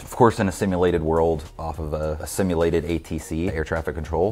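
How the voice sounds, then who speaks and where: A man in his thirties, a guest on a video call, explaining in a measured casual way with many fillers. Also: sits low, a little mellow. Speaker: guest commentator. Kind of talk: casual interview talk. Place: home room on video call.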